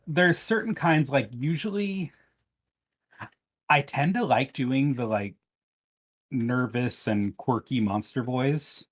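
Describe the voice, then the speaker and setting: A man speaking casually as a voice actor guest on a podcast. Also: mid-range voice. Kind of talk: casual chat.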